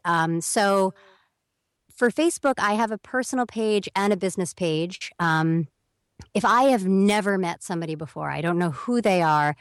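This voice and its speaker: A woman's voice: on the low side, no echo, clean studio sound. A young woman speaking as a guest on an interview podcast, explaining at length in a chatty way and acting out quoted messages. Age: young adult. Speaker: voiceover talent guest.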